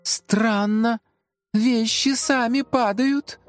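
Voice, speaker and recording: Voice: mid-range voice, fairly even intonation, extremely slow, no echo, faint music behind, voice pushed up. A performer voicing a jumpy, dramatic young woman lab assistant in a children's audio story. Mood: uneasy.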